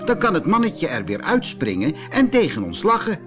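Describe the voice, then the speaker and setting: A man's voice: a little high, wildly swooping pitch, moderate pace, a bit bright, faint music behind, pitched above the performer's own voice. A man voicing worried toy dolls who speak urgently in a storybook. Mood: urgent, concerned. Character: worried toy dolls, urging action, high-voiced.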